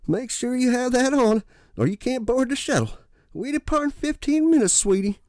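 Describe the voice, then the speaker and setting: A man's voice: expressive, moderate pace, a little mellow, no room sound, clean studio sound. A man doing a sugary old lady volunteer. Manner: sweet, fussy, high falsetto. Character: chirpy elderly woman volunteer, sugary and proud.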